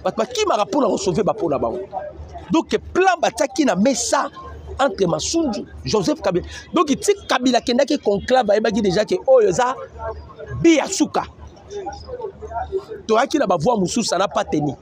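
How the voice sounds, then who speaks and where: A Congolese man talks through the political news with emphatic energy. His voice sits high and leaps around, and he hammers key phrases again and again. He blends French and Lingala as he builds his case. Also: very animated, moderate pace, a crowd in the background. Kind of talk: animated political commentary.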